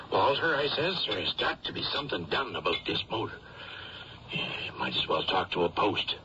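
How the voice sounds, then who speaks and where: An actor playing a resentful, sardonic fishing boat hand in a radio drama. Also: male voice, on the low side. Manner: grumbling, sardonic, colloquial. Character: bitter, resentful sailor, second man on a fishing boat. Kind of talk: first-person radio drama monologue and dialogue. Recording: dull radio recording, loud background noise.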